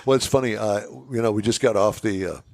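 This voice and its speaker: A man's voice: fairly low pitch, fast talker, creaky, a little mellow, no echo. A man hosting a car show with jovial, teasing banter, on a headset mic at an outdoor event. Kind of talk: casual on-air chat.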